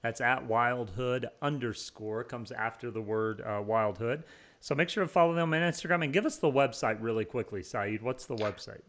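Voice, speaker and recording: A man's voice: medium pitch, moderate pace, fairly bright tone. A man hosting an interview show in a casual, upbeat way, laughing often and padding his talk with fillers. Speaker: podcast host.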